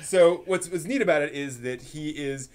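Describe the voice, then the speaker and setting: A man hosting a casual anime news chat with co-hosts, talking in a halting, agreeable way. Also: a little high, over a noisy crowd. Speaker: host presenting anime news.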